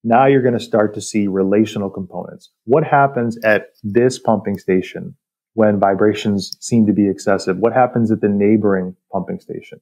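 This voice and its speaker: A man with a relaxed, mellow voice sits a little low in pitch. He talks as a technical guest in an interview, laying out industrial detail in a measured, explanatory way. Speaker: technical director, podcast guest.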